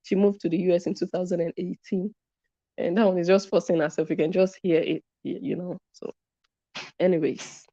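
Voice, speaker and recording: A woman's voice: on the low side, fairly even intonation, fairly quick, warm tone, no echo. A woman hosting a live commentary stream, reading viewer comments and stressing her points by repeating them. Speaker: commentary channel host. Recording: dull lo-fi sound.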